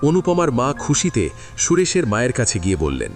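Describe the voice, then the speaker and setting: A male narrator tells the story in a middle-pitched voice that swings widely in pitch. His delivery is scripted and expressive. Faint music sits behind him. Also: a little fast.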